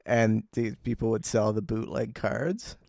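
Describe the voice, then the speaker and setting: Gravelly man with wry delivery. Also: fairly low pitch, measured pace, darkish tone, no room sound, studio quality. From Canada. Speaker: co-host of a local music and chat radio show. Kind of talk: casual banter.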